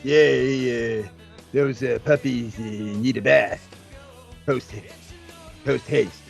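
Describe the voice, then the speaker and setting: A man playing a doddering, crude old wrestling patriarch, muttering and halting in a comedic audio play. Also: medium pitch, steady tone, creaky, faint music behind. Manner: halting, muttering with many pauses.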